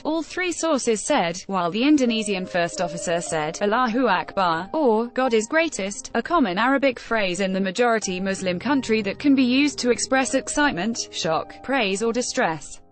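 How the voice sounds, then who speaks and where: Female news narrator for scripted article read, medium pitch, background music. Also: animated, moderate pace.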